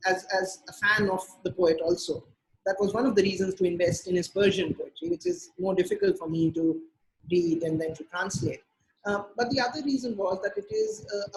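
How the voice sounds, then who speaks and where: High-voiced man, thoughtful and hesitant. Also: rough recording. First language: Urdu. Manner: measured, many filler pauses. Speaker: translator and poet, interview guest. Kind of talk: thoughtful interview answers. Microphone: video call.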